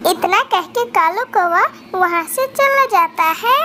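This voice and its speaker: A woman's voice: very high voice, animated, unhurried, bright, forceful tone, slight background noise. A woman narrating a children's cartoon story as a scripted storyteller.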